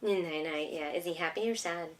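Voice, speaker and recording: A woman's voice: on the low side, moderate pace, a little hiss or hum. A woman, a homeschooling mother, reading a picture book aloud to her young child with patient, encouraging, simple questions.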